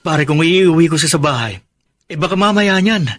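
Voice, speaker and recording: A higher-voiced, protective young husband. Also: male voice, animated, normal speed, fairly bright tone. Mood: relieved, firm. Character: protective, stubborn young husband and expectant father. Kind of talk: radio drama acting.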